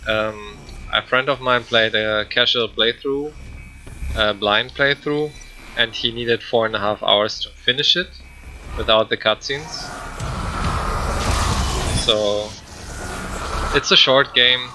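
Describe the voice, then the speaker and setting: A man's voice: medium pitch, takes it slowly, long pauses, background noise. A man giving casual, rambling gameplay commentary in hesitant, non-native English. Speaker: speedrunner commentator.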